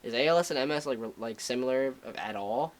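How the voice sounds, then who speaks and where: A man's voice: mid-range voice, fast talker. Slangy young man co-hosting a podcast. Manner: loose, slangy, swears a lot. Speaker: podcast co-host. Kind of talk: casual chat.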